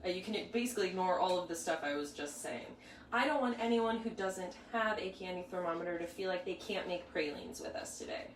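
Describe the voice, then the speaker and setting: A woman's voice: fairly low pitch, fairly quick. Chatty woman baker rambling in an echoey kitchen. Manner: chatty, rambling, with many restarts and asides. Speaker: pastry shop baker hosting a live bake-along. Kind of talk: casual live cooking demo. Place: home kitchen. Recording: echoey kitchen, mic far off, faint hum.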